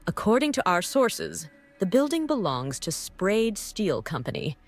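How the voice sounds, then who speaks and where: A woman voicing a bossy, cynical female task force boss in a visual novel, brisk, dry and condescending. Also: mid-range voice, very animated. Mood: businesslike.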